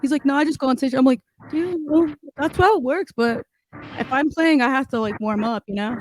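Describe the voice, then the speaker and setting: A woman's voice: sits high, brisk, no echo, poor audio. A young woman hosting an interview, chatting casually with frequent likes and mm-hmms. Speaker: interviewer, podcast host.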